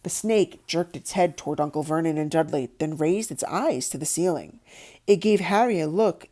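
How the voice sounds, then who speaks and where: A woman narrating a children's novel aloud in an expressive storybook style. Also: low voice, normal speed. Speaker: audiobook narrator.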